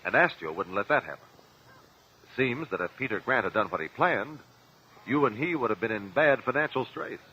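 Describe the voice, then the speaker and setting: An actor playing a sharp, persistent defense lawyer in a vintage American radio drama, speaking formally and articulately. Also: male voice, medium pitch, expressive, a little fast. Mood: probing. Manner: articulate, pressing, courtroom formality. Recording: dull vintage radio broadcast.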